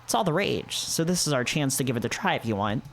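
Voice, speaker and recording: A man's voice: medium pitch, sing-song, fast, a little hiss or hum. A man voicing an anthropomorphic fox, an assured and flirtatious date in a visual novel, over music. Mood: flirty, assured. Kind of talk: visual novel character read-aloud.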